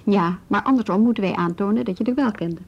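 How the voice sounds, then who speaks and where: An actress playing a calm, probing policewoman in a radio drama, questioning in a measured, businesslike way. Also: mid-range voice, gravelly, lo-fi sound. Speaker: police officer questioning a youth.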